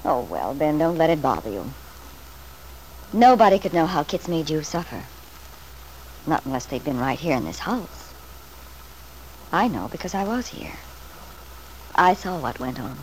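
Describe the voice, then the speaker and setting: Ingratiating, swooping schemer. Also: female voice, deep voice, very animated, measured pace, pauses often, a little mellow. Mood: soothing, flattering. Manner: solicitous, ingratiating, emphatic. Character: scheming, flattering woman, soothing and falsely devoted. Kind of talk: old-time radio soap opera acting. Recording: noisy vintage radio drama recording.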